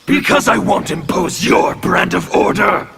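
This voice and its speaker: An actor playing a menacing male anarchist warrior in a video game, with scornful, threatening delivery and a gravelly voice. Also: high-pitched, unhurried, over loud music, exaggerated voice. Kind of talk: video game intro dialogue.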